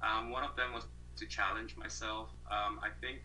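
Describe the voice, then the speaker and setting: A man's voice: fairly low pitch, little pitch movement, normal speed, pushed and bright, slight background noise, low-quality recording. A man in his thirties from Malaysia, a guest on an interview over a video call, talking thoughtfully with many fillers and restarts.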